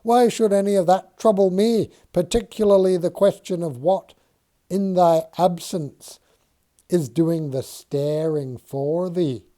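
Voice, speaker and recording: A man doing an excitable old-fashioned surveyor. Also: sits high, voice pushed up. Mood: excited. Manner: archaic thee-and-thou speech, loud and agitated. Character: excitable Quaker surveyor man, earnest, easily startled.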